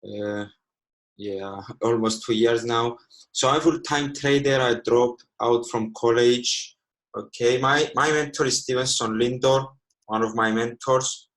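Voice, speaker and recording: A man's voice: mid-range voice, a little slow, a bit bright, low-quality recording. Man in his twenties teaching. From Cyprus. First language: Greek. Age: 20s. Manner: fills with frequent "okay", slightly broken English. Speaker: trading educator. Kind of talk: live online teaching. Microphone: video call.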